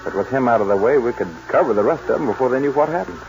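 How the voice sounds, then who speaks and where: An actor playing a cautious but game American cowboy sidekick, folksy and exclaiming, in a 1940s radio western. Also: male voice, mid-range voice, animated, racing, gravelly, darkish tone, soft background music. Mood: nervous, game. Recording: noisy, muffled 1940s radio recording.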